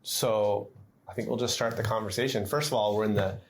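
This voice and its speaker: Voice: mid-range voice, steady tone, fairly quick, a little hiss or hum. A podcast host leading a casual chat with student guests in a playful, teasing manner.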